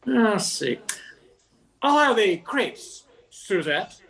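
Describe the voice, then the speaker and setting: A man's voice: high-pitched, sing-song, very slow, fairly bright tone, heavily acted. An older man playing a cocky, joking diner customer in an amateur radio play, with a theatrical, insistent manner. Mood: smug, insistent. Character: arrogant, nosy male diner customer and joking clown.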